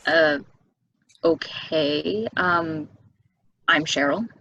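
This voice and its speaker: A woman's voice: low voice, very slow, vocal fry, a bit bright, slightly rough, dry recording, highs cut off, exaggerated voice. An actress playing a level-headed, skeptical woman with a dry, conversational delivery, in a table reading of a stage play. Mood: skeptical, reluctant.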